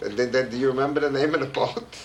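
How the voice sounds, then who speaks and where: A man's voice: mid-range voice, fairly even intonation, very fast, slight background noise. A middle-aged man interviewing his father-in-law at home, teasing him and restarting his questions. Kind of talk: home video family interview. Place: home in a retirement community.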